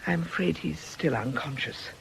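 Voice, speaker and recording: A woman playing a calm, caring and capable nursing sister in a radio drama, polite and composed. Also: sits low, normal speed, creaky. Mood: calm, helpful. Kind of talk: British radio drama acting. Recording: noisy old radio drama recording.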